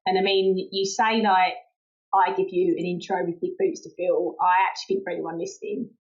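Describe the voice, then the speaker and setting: A woman's voice: on the low side, little pitch movement, a little fast, dull audio. A woman hosting a podcast, chatting in an informal and encouraging way as she interviews. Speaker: podcast host. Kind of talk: casual interview chat.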